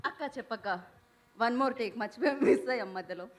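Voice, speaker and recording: A woman's voice: a little high, moderate pace. A woman hosting a live film event, chatty and full of teasing, playful banter. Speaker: event anchor.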